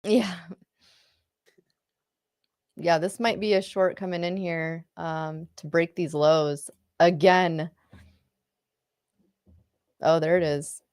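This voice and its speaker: A woman's voice: on the low side, steady tone, slow, pauses often, a little mellow, smooth, no echo, studio quality. A woman co-hosting a live trading stream, chatting playfully and laughing often as she comments on the market. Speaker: co-host of a live trading show. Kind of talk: casual chat and market commentary.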